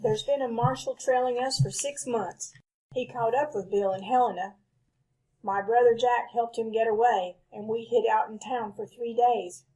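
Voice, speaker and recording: An actress playing an anxious, determined wife of an outlaw in an old-time radio western, earnest and husky. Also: female voice, on the high side, steady tone, moderate pace, warm tone, low-quality recording. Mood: worried, confiding. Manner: earnest, pleading, firm.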